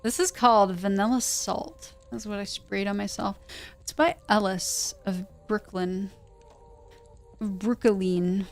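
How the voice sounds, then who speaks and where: A woman streamer talking at a slow, easy pace over faint music. She rambles playfully and casually, as a host settling in before a read-aloud, and speaks English as a second language. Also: medium pitch, steady tone. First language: not English. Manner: playful, rambling, breaks into sound imitations. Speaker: livestream host reading pulp science fiction aloud. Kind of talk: casual livestream chat.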